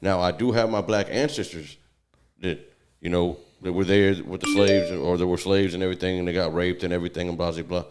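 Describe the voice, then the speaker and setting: A man's voice: deep voice, steady tone, fairly quick. A Puerto Rican man co-hosting a casual podcast chat, who talks in a blunt, profane way. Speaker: podcast co-host.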